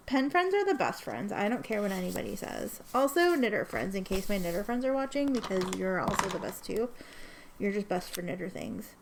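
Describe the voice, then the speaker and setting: A woman's voice: mid-range voice, slight background noise. A woman chatting casually in a vlog as she swatches inks, sniffly from allergies, rambling with lots of ums and self-corrections. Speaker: ink swatching vlogger.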